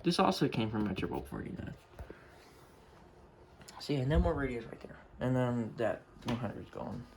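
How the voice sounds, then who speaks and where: Casual male hobbyist, informal and mumbly, creaky voice, unscripted home video with clear background noise and clicks. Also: mid-range voice, sing-song, normal speed, darkish tone. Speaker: hobbyist showing a weather radio collection. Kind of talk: casual unscripted show-and-tell. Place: room at home. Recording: noisy home recording with clicks.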